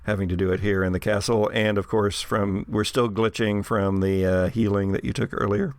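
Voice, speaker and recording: A man's voice: lowish voice, fairly even intonation, normal speed, clear, resonant tone, no room sound, clean studio sound. A man acting as game master, narrating a tabletop horror role-playing game.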